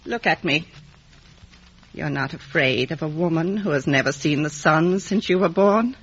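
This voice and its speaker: Old lady, bitter, imperious, raspy low voice, dramatic swings, muffled old radio play. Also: expressive, normal speed. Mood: imperious, probing. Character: bitter, weary, commanding rich old lady recluse. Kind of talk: 1950s radio drama acting. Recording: dull vintage radio broadcast.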